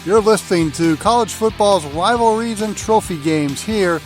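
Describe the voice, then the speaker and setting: A man's voice: high voice, moderate pace. A man anchoring a sports show in a scripted radio announcing style. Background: guitar music bed.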